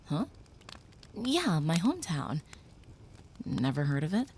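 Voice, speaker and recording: A woman voicing a gentle, protective young female vampire in an audio roleplay, speaking softly and reassuringly, sometimes whispering. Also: low-pitched, very animated, takes it slowly, slight background noise, full character voice.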